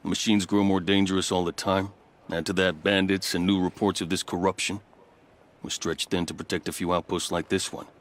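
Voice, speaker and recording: An actor playing a loyal, wry veteran fort captain in a video game, speaking in measured, formal phrases with dry asides. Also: male voice, deep voice, little pitch movement, lightly acted. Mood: grave. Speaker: fort captain quest giver. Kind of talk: video game dialogue acting. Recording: game soundtrack music behind. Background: music.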